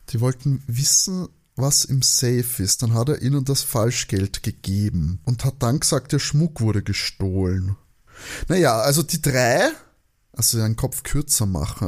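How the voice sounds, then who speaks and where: A man chatting casually as a podcast co-host, joking and rambling as he thinks out loud. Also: medium pitch. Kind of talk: casual chat, guessing along with an audio drama.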